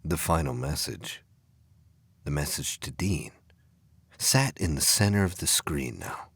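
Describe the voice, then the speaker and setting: A man's voice: very deep voice, a little slow, a bit bright, slightly rough, no echo. A man narrating a sci-fi audio play in an intense, suspenseful, scripted dramatic style.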